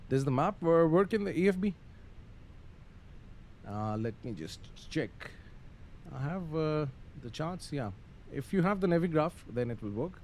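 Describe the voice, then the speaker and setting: A man's voice: fairly high pitch, lively intonation, a little slow, a little mellow. A man giving casual live-stream commentary on a flight simulator, muttering through procedures hesitantly with some radio phraseology. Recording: faint background noise.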